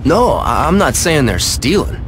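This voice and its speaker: Nervous young gang lackey, lively swinging pitch, hesitant, slangy, defensive, game cutscene, noisy backing. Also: male voice, unhurried. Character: nervous young male street DJ, defensive underling. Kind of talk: video game cutscene acting.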